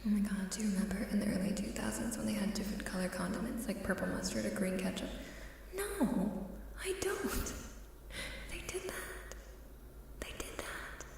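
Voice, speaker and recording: A soft-spoken woman chatting playfully and coyly in an ASMR style, often dropping into a whisper. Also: mid-range voice, lively intonation, moderate pace, gravelly. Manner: playful, coy. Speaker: ASMR live streamer. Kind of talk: ASMR casual chat with whispering. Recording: reverberant hall-like echo, faint hiss, distant.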